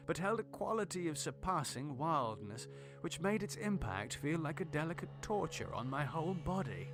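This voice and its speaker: A man reading literary horror as a dramatic narrator, in a measured, grave and deliberate way. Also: fairly high pitch. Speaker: narrator, audiobook reader.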